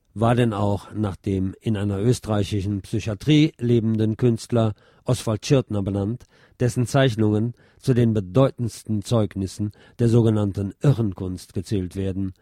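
A man reading a scripted nonfiction essay aloud in a measured, articulate manner. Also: fairly low pitch, warm tone, no echo, studio quality. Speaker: narrator reading a nonfiction text. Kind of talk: scripted narration, literary essay read aloud.

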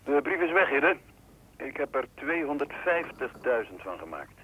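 A man's voice: mid-range voice, very animated, normal speed. A man playing a confident, bossy schemer over the telephone in a radio drama, dismissive and self-assured. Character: confident, bossy male business schemer on phone. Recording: noisy, sharp telephone-line sound.

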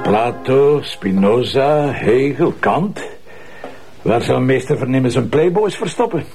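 An actor with a Flemish accent playing an irreverent, sardonic police commissioner in a TV crime drama, talking in a wry, colloquial way. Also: male voice, medium pitch, lively intonation, unhurried, darkish tone, soft background music. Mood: mocking. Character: irreverent, sardonic male police commissioner needling his superiors. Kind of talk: naturalistic TV crime drama acting.

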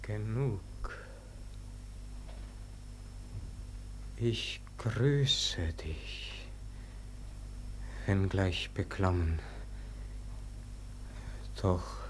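A man's voice: sits low, drawn-out words, long pauses, a little hiss or hum. An actor playing an anguished, brooding man facing Death, declaiming verse in a theatrical, plaintive way in a classic German radio drama. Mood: hushed, uneasy.

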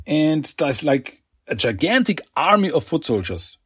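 A man's voice: fairly high pitch, very animated, measured pace, fairly bright tone, husky, no echo, studio quality. A man with a slight French accent hosting a casual comic recap podcast, rambling with fillers and restarts and acting out quotes. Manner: chatty, many fillers and restarts, acts out quotes. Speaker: podcast host.

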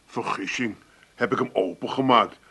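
An actor playing a flustered, plaintive grown son with an Amsterdam accent in a radio comedy. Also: male voice, lowish voice, normal speed, bright, forceful tone, husky, full character voice. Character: hapless, whiny grown son, easily upset.

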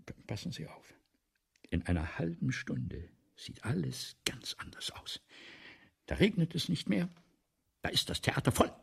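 An actor playing an excitable, domineering, grandiose playwright in a German radio play, breathy and theatrical, often lapsing into whispers. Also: male voice, mid-range voice, unhurried, exaggerated voice. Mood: conspiratorial, then insistent. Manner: theatrical, pompous, insistent.

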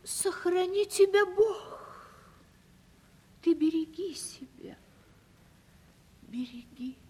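An actress playing a coquettish, capricious aging landowner lady in a radio play, with affected, drawn-out theatrical delivery. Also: very high voice, lively intonation, dark tone, exaggerated voice. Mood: fretful, confiding. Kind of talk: theatrical 1970s Russian radio play acting. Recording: stage-like reverberant archival radio drama recording.

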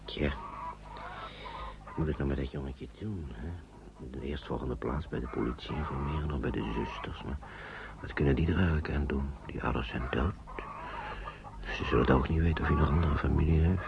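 A man's voice: a bass voice, a little fast, gravelly. A man playing a kindly, worried uncle looking after refugee children, in a radio drama. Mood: worried, musing. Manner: gentle, reassuring, patient with children. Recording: dull, noisy old radio play recording.

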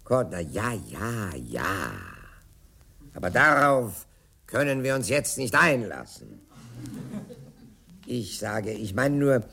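An older man telling a theatrical anecdote, acting out the dialogue with dramatic pauses. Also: medium pitch, gravelly, a bit bright, slightly rough.

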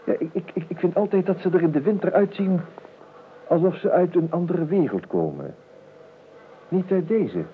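An actor playing an idle, whimsical gentleman heir in a radio play, talkative and hesitant, repeating his words. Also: sits high, lively intonation, moderate pace, long pauses. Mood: dreamy. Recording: muffled phone-like old radio recording, hiss.

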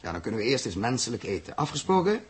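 A husky, commanding spaceship captain. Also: male voice, medium pitch, big pitch swings, fast. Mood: businesslike. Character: commanding, practical spaceship captain running his crew. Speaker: radio drama actor. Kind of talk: 1960s Dutch radio play acting.

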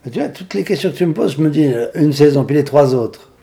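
An older man, a singer and grandfather, talking in a halting, rambling, blunt way in a casual interview. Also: fairly high pitch, animated, vocal fry, dark tone. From Switzerland. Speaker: singer-songwriter guest.

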